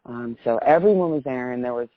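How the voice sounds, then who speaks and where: A woman's voice: extremely low pitch, brisk, no echo. Muffled phone call, effusive American woman. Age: middle-aged. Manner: effusive, rambling storytelling. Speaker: phone caller. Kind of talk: casual spoken personal account.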